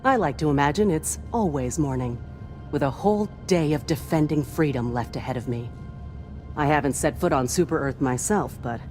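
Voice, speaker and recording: A woman's voice: low-pitched, a little mellow. A woman playing an earnest, devoutly patriotic starship crew chief in a video game, speaking with reverence and a sense of duty. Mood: proud, devout. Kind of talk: scripted video game character lines.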